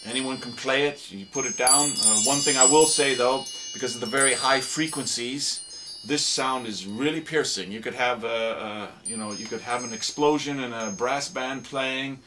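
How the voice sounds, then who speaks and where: A man who produces radio drama, demonstrating sound effect gadgets in a relaxed, explanatory way with plenty of fillers. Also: medium pitch, normal speed, background noise.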